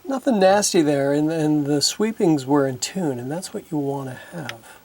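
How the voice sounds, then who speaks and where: A man's voice: on the high side, loud music behind the voice. Man thinking aloud at a workbench. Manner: relaxed, thinking aloud, halting with fillers. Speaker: hobbyist synth repairer. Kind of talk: casual narration while working. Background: synthesizer being played.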